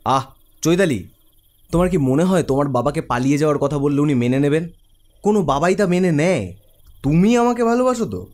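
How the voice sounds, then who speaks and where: Casting: cocky young tough guy played by a man, impatient swagger, very lively intonation, horror cartoon. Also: on the high side, quick pace, lightly acted. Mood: coaxing. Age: young adult. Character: cocky, impatient young street thug and deceitful lover. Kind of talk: animated horror cartoon dialogue.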